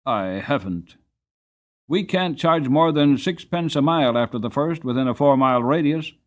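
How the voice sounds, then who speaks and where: A man's voice: on the high side, expressive, moderate pace, pitched above the performer's own voice, lightly acted. A man voicing a shabby, desperate London cab driver in an audiobook, complaining in an impassioned, emphatic way. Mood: desperate, aggrieved. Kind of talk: dramatic audiobook character reading.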